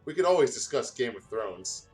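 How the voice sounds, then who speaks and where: A man's voice: mid-range voice, big pitch swings, moderate pace, over music, lightly acted. A man voicing a friendly, easygoing teenage schoolboy in a casual manner in a fan fiction reading.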